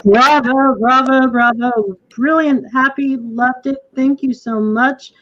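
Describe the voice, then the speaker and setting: A woman's voice: high voice, fairly even intonation, slow, fairly bright tone, faint music behind. A woman hosting an informal post-show talkback as director, effusive and hesitant, over a video call. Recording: dull, lo-fi video call audio.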